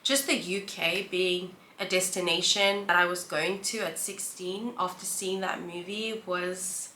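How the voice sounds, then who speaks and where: A South African woman in her thirties, chatting to camera about her life in a relaxed, reflective way with listing pauses. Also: on the low side, slight background noise. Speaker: vlogger. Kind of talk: casual sit-down chat to camera. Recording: roomy echo, faint background ambience.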